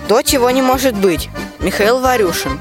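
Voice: mid-range voice, expressive, moderate pace. Credits announcer over instrumental music. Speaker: announcer reading cast credits. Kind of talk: scripted announcement.

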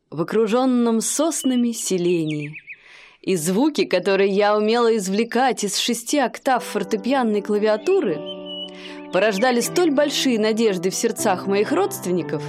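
A woman's voice: on the high side, darkish tone, natural voice. A young woman playing an aspiring pianist in a radio play, speaking earnestly and measuredly. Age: young adult.

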